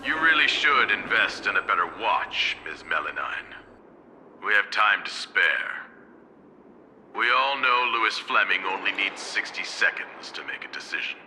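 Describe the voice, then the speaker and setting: An actor playing a stern, calculating old corporate patriarch in a video game, with measured, formal and authoritative speech. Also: male voice, fairly low pitch, very animated, a little hiss or hum, exaggerated voice. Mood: dismissive, composed. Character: stern, calculating elderly male corporate elder. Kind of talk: dramatic video game voice acting. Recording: loud music behind the voice. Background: music.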